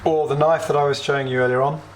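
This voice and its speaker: A British man hosting a DIY tutorial, a little hoarse as he is losing his voice, chatty and plain as he explains each step with small asides. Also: on the high side, little pitch movement, fast, echoey room, a little hiss or hum. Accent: British English. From United Kingdom. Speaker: DIY video host. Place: home workshop or kitchen.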